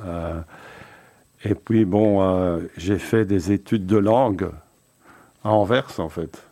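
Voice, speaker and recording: A man's voice: low-pitched, takes it slowly, dark tone. A man in his seventies, born in Belgium, answering interview questions about his career at an easy pace, with many hesitations and restarts. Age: 70s. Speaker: company founder guest. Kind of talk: relaxed interview talk.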